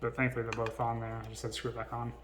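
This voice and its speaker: A man hosts an unscripted electronics demonstration in an informal, chatty way. His voice sits at an ordinary middle pitch. The recording carries faint noise and small clicks. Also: completely flat delivery, very fast, roomy sound. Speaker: video host reviewing vintage electronics. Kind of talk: casual unscripted demonstration to viewers.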